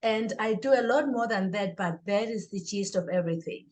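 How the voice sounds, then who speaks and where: A woman speaks as a guest on an interview show. Her voice sits at a medium pitch, and she answers in a conversational way. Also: normal speed, darkish tone. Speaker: interview guest, author, educator and singer.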